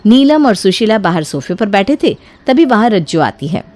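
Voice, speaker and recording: A woman narrating a scripted story in an expressive storytelling manner. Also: mid-range voice, normal speed. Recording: music behind the voice.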